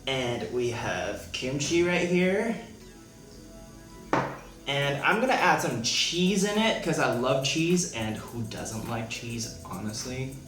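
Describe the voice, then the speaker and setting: A man's voice: medium pitch, big pitch swings, takes it slowly. Young man, from Hong Kong, playful cooking chatter, roomy echo, music behind. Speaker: vlogger, home cook. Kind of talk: casual cooking vlog chat. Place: small apartment room. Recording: echoey room with music behind.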